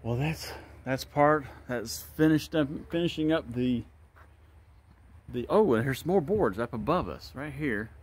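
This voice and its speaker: A man at a middle pitch rambles through a self-filmed tour of an open wooden barn. He thinks aloud and often admits he isn't sure what he's looking at. The sound comes from a handheld phone. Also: expressive, a little slow, breathy edge. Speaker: vlogger giving a walking tour. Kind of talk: casual walk-and-talk narration. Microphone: handheld phone camera.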